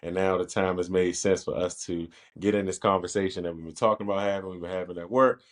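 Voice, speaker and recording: A man from Washington, D.C. with a very deep voice, hosting a casual interview podcast. He talks in an easygoing way and laughs easily as he chats with his guest. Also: fairly even intonation. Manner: easygoing, laughs often, quick. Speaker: podcast host.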